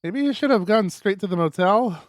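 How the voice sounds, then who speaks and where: A man streaming a visual novel, reading it aloud as expressive narration with casual asides. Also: sits high, moderate pace, no room sound, clean studio sound.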